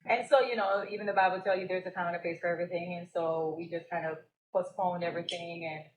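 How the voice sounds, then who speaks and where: A woman's voice: lowish voice, steady tone, quick pace, echoey room, rough recording. A young woman from Jamaica, a business co-owner and guest on a live podcast, telling her story and laughing often. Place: stage at a live event.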